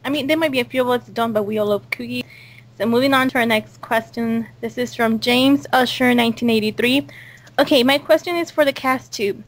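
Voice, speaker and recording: High-voiced woman hosting a casual interview. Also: fairly quick. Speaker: interview host and cast member. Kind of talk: casual chat, reading out questions.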